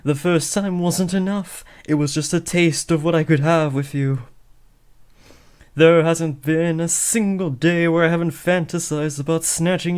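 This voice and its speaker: A man's voice: on the high side, darkish tone, clear, resonant tone, close to an everyday voice. A young man playing an awkward, lovestruck teenage would-be supervillain in a comedic audio roleplay, switching between theatrical menace and hesitant rambling. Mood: earnest, nervous. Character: awkward lovestruck teenage boy, aspiring supervillain, eager, insecure. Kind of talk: comedic roleplay audio drama addressed to the listener.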